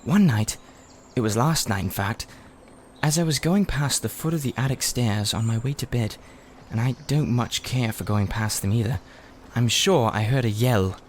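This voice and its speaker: A man's voice: medium pitch, a little hiss or hum, lightly acted. A man voicing a miserable, blunt young boy in a storybook, blurting out his words in a rush. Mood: secretive, wary. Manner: breathless, rushed, blurting complaints.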